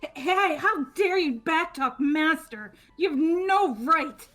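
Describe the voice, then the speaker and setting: A woman's voice: sits high, expressive, slow, a bit bright, faint music behind, exaggerated voice. A woman voicing a stammering, haughty, venomous young woman with an obsessive devotion to her master, in a fan-voiced game. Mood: indignant. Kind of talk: fan-voiced visual novel game acting.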